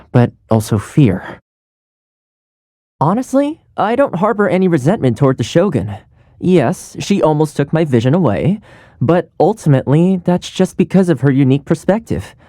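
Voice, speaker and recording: A kind young male game character, a polite and caring housekeeper. His delivery is easygoing and earnest, with a soft, mellow tone and lively, swinging intonation. The sound is dry and close. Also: on the high side, no room sound. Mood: earnest, thoughtful. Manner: warm, easygoing, chatty, earnest. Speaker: video game voice actor. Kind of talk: scripted game character voice lines.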